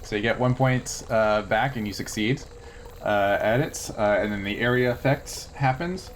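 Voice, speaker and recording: A man's voice: on the low side, normal speed, slight background noise, low-quality recording. A man acting as game master, talking casually through a tabletop role-playing game in a jokey, easygoing way.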